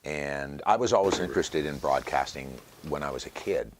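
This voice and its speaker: Very deep-voiced American man in his sixties. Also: normal speed, background noise. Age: 60s. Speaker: stadium announcer and voiceover artist. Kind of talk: reflective interview talk.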